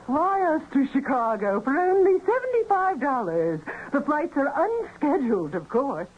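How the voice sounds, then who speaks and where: Spoof airline saleswoman for a comic 1970s radio ad; high, swooping delivery on a dull tape. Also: sing-song, normal speed, a little hiss or hum. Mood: mock-earnest pitch. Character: female airline pitchwoman spoofing confusing discount fares.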